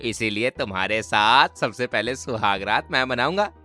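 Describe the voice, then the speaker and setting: A man's voice: mid-range voice, lively intonation, normal speed, pushed and bright, soft background music. A man playing a leering drunken villain with a drawling, lecherous manner in a horror cartoon story. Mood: leering, gloating. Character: leering drunken male villain, sleazy friend of groom.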